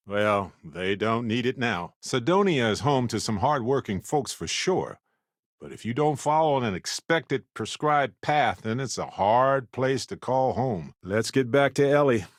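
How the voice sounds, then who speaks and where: A man playing a genial, wry, curious middle-aged space explorer in a video game, speaking in a conversational, expressive way. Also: on the low side, no room sound, studio quality, close to an everyday voice. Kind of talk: video game character dialogue.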